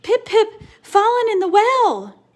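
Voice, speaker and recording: A woman's voice: very high-pitched, very animated, a little slow, voice pushed up, heavily acted. A woman voicing a frightened, breathless little boy in a storybook, calling out urgently. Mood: breathless panic. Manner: shouting, gasping chant of a long name.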